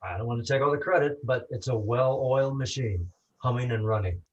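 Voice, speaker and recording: A man's voice: medium pitch, brisk, lo-fi sound, natural voice. A man playing a self-satisfied politician giving a campaign speech in a noir radio play. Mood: smug. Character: self-satisfied city politician running for re-election.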